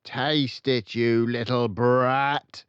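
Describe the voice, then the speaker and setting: A man voicing a tyrannical, bullying headmistress in a storybook, shouting and drawing out commands. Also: medium pitch, flat delivery, clear, resonant tone, no room sound, muffled recording, pitched above the performer's own voice. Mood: sharp, menacing. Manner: shouting, drawn-out commanding delivery. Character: Trunchbull, tyrannical bullying headmistress, loud and menacing woman.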